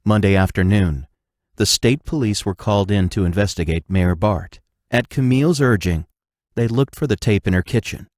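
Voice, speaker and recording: A man narrating an audio drama from a script, announcing scenes in a measured way. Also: on the low side, darkish tone, dry recording, clean studio sound.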